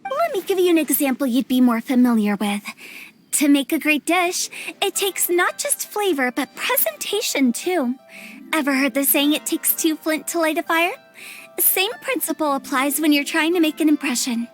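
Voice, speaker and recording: A woman's voice: high voice, normal speed. A woman voicing a playful, mischievous young funeral director with a teasing way of talking, in a video game cutscene. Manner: teasing, lively, rhyming banter. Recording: game audio with soft music behind.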